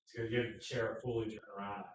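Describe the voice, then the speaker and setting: A man co-hosting a casual motorcycle live stream, joking and teasing with loud bursts. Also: fairly low pitch, flat delivery, rapid-fire, slight background noise. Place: garage. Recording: echoey garage, far from mic.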